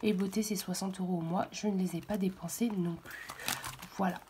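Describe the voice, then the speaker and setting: A hoarse woman speaking softly and half-whispering at home in the early morning, hesitant and full of fillers as she walks through her weekly budget. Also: mid-range voice, fairly even intonation, fairly quick, background noise. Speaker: budget vlogger. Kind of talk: casual budget walkthrough.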